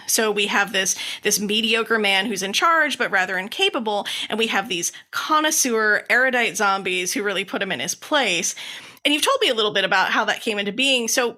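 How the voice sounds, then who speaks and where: A woman from the United States hosting a podcast, with an upbeat, animated way of talking. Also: mid-range voice. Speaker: podcast host. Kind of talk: scripted introduction and chatty interview.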